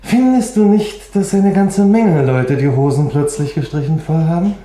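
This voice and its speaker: A man acting as a persistent, wry reporter in a crime radio drama, heard in an echoey room. He speaks casually but probingly, with animated, wide-ranging intonation. The manner is sly. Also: on the high side, normal speed, natural voice. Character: nosy, wry, persistent male newspaper reporter.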